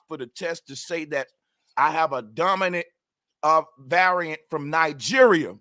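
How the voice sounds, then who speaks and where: Guy arguing hard, high bright voice, dry close sound, won't let anyone cut in. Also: no echo. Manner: insistent, talks over others, swears. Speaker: debater. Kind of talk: heated debate.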